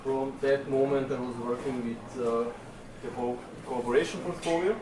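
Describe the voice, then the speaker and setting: Man talking in an echoey hall. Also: medium pitch. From Serbia. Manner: relaxed and chatty, pausing often on fillers. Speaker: conference speaker. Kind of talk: technical presentation. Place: conference session room. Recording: echoey room, distant mic, room noise.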